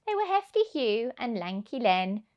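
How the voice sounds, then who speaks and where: A woman's voice: on the high side, big pitch swings, normal speed, darkish tone, highs cut off. A woman narrating a children's storybook, reading aloud as for young children.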